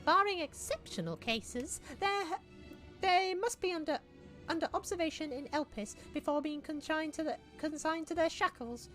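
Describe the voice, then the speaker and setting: A woman voicing a formal, grave, learned character from a fantasy game, in a high put-on voice with clipped, measured lines. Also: a bit bright, soft background music. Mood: earnest. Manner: clipped formal delivery in a much higher put-on voice. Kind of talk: voicing game dialogue live.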